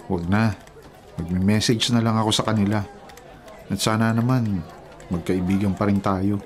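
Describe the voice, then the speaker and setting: An actor playing a guilty, lovesick young schemer in a Filipino radio drama. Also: male voice, fairly low pitch, fairly even intonation, moderate pace, lightly acted. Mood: remorseful, subdued. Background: music.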